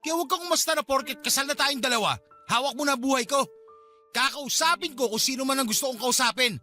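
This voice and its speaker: A man's voice: very high voice, quick pace, pushed and bright, faint music behind, exaggerated voice. A man playing a defensive, resentful husband in a Tagalog radio drama, dismissive and argumentative. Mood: angry, resentful.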